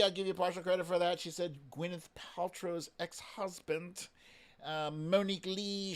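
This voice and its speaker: A man's voice: high-pitched, pushed and bright. A man hosting a casual live stream, chatting playfully with exclamations as he addresses his viewers.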